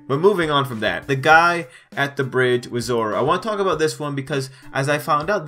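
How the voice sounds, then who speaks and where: Guy doing a casual manga review, repeats stuff for emphasis, medium pitch, some music under him. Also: a bit bright. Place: voice-over over still images.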